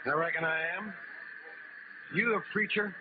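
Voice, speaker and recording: A man's voice: sits high, steady tone, moderate pace, close to an everyday voice. An American man playing a rowdy, bullying gunman in a 1950s radio western, taunting in folksy frontier speech. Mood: taunting, threatening. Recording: noisy, muffled old radio recording.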